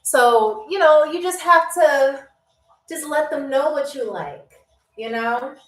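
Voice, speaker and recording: A woman's voice: high-pitched, expressive, slow pace, far from the mic. A woman from New York hosting a live-streamed trivia game show, chatting with viewers, laughing often and reading their comments aloud. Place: room in Albania. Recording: echoey room, voice a bit off-mic.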